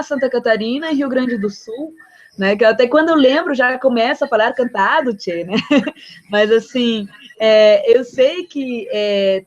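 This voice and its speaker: A woman from Brazil hosting a live radio interview, chatty, laughing easily and tagging her sentences with questions. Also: on the high side. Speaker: radio host. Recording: dull lo-fi remote audio.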